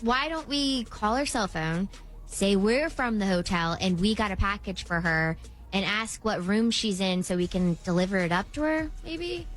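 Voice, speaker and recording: A woman's voice: mid-range voice, lively intonation, normal speed. A woman co-hosting a radio show, chatting casually and offering suggestions.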